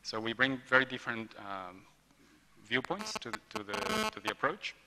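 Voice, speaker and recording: Bright-voiced man speaking at a panel. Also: medium pitch, sing-song, normal speed, creaky, slight background noise. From Mexico. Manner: hesitant, many ums and restarts. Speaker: conference speaker, industrial designer. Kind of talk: panel presentation. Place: large hotel ballroom roundtable. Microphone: table gooseneck mic. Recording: long hall reverb.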